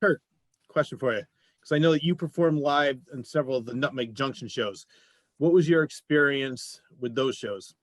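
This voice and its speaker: A man's voice: medium pitch, normal speed, no room sound. A man hosting a casual panel interview, talking conversationally. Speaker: show host.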